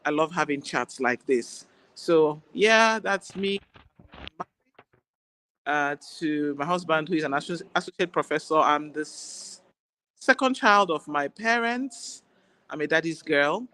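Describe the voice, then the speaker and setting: A woman with a Nigerian accent, an Igbo speaker, talking as a guest doctor in a relaxed interview, chatty and quick to laugh. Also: low-pitched, rough recording. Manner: warm, chatty, laughs easily. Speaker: guest, general practitioner. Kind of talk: casual interview chat.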